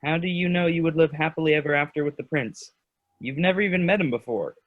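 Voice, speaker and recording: A man's voice: fairly high pitch, fast talker, narrow, tinny audio, lightly acted. A man playing a practical, skeptical young friend in a staged reading, dry and teasing. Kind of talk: staged reading of children's theater.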